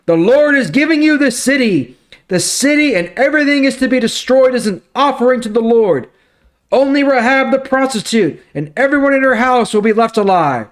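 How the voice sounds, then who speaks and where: Man acting out high, urgent commanding leader. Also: lively intonation, moderate pace. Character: commanding male Israelite leader rallying his people.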